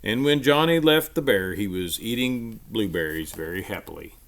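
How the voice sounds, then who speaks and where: A man reading a children's storybook aloud in an expressive, emphatic storytelling manner. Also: fairly low pitch, normal speed.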